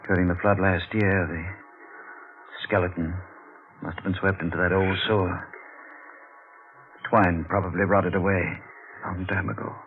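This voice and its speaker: A man's voice: sits low, little pitch movement, normal speed, long pauses, close to an everyday voice. An actor playing a grave, resigned older man, subdued in manner, in a 1940s radio drama. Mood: grave, explaining. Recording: noisy, narrow-band 1940s radio with music.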